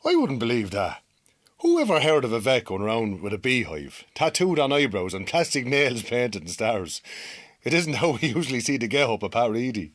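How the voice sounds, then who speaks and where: An actor playing an easygoing, coaxing Irish farmer husband in a radio drama, a little defensive, with an Irish accent. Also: male voice, mid-range voice, very animated, normal speed, dry recording, clean studio sound. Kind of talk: naturalistic radio drama dialogue.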